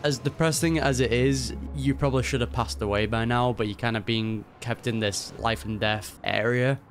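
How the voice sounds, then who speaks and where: A man's voice: medium pitch, fairly even intonation, moderate pace, faint music behind. A man giving casual reaction commentary in an informal, musing, conversational way. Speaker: video game reactor.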